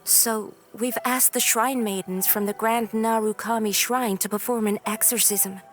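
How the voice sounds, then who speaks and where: A woman's voice: fairly high pitch, fairly even intonation, measured pace, faint music behind, natural voice. A woman playing a worried, earnest young martial disciple in a video game, speaking in a troubled way.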